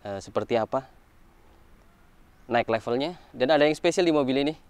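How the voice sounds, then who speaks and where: A man's voice: medium pitch, animated, moderate pace, a little mellow, clear, resonant tone, no room sound. A man giving a casual walkaround car review, explaining things informally point by point.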